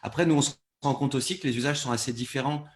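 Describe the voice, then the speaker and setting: A man's voice: medium pitch, steady tone, quick pace, echoey room. A man speaking as a producer in a panel discussion, explaining things spontaneously and often repeating words as he thinks. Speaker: panelist, fiction podcast producer.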